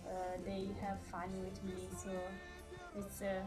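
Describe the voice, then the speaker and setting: Slow, hesitant teenage girl. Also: mid-range voice, monotone. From Italy. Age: late teens. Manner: hesitant, many fillers. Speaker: youth exchange participant. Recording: loud music behind.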